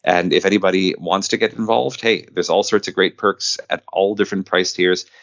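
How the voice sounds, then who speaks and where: Male interviewee, deep register, enthusiastic and effusive, slightly lo-fi sound. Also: steady tone, normal speed, a bit bright, no room sound. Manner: effusive, repeats phrases for emphasis. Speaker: podcast guest, filmmaker and actor.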